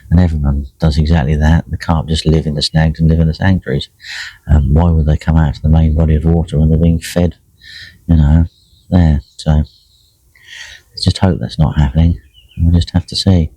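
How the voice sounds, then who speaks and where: A middle-aged man with a croaky voice, chatting casually by himself while out fishing, with lots of fillers and restarts. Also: extremely low pitch, no room sound, rough recording. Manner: relaxed, rambling, many fillers and restarts. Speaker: carp angler vlogging a session. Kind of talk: casual solo vlog commentary. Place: in a fishing bivvy by a lake.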